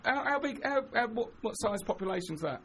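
A man's voice: extremely high pitch, animated, a little fast, fairly bright tone, husky. A man hosting a radio show, chatting playfully with a guest in the studio and hyping him up. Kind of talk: casual studio chat.

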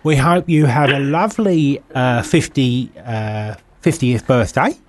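A man's voice: medium pitch, a little slow, darkish tone. A man hosting a radio phone-in, teasing and playful with the caller. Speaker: radio host.

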